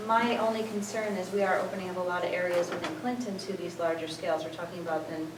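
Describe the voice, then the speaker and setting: A woman's voice: fairly low pitch, fairly even intonation, fast. A woman on a commission asking hesitant clarifying questions at a public hearing. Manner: hesitant, clarifying, many fillers. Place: town meeting room. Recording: echoey hall from far off with noise.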